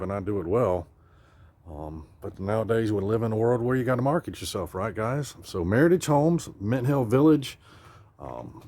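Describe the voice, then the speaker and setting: A real estate agent with a hoarse, worn voice, chatting casually as he walks through an empty new house. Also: lowish voice, darkish tone. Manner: chatty, rambling, self-deprecating. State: hoarse, losing his voice. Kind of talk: casual home tour vlog. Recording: echoey empty rooms, faint smoke alarm chirps.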